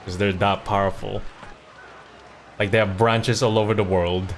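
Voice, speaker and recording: A man's voice: lowish voice, little pitch movement, moderate pace, a little hiss or hum. A man livestreaming a game, giving casual, rambling commentary in an informal way. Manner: rambling, informal, mildly profane. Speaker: streamer. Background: game music.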